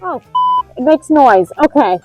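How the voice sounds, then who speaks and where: A woman's voice: high voice, animated, a little slow, darkish tone, faint music behind, low-quality recording. Chatty young woman with a dry cough. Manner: chatty, rambling, filler-heavy with lots of "like". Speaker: DIY vlogger and host. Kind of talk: casual chat and talk-through of a DIY task. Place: home indoors.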